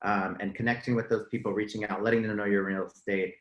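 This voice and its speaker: A man's voice: fairly low pitch, level tone, fast talker, highs cut off. A man hosting a panel casually, encouraging guests and joking with them. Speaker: panel moderator. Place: indoor room on a group video call. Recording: roomy, echoing call audio.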